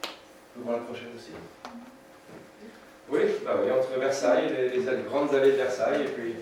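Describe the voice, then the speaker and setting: Man in his fifties from France, photographer giving a hesitant seminar talk; mid-pitched, recorded from afar in a very echoey room. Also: steady tone, normal speed, darkish tone. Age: 50s. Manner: hesitant, many fillers and restarts, light chuckles. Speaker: photographer presenting his work. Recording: echoey room, distant mic, noisy.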